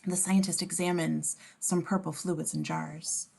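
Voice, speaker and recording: A woman's voice: deep voice, little pitch movement, a little slow, vocal fry, a little mellow. A woman narrating as scene description reader, reading screenplay stage directions aloud at a table read.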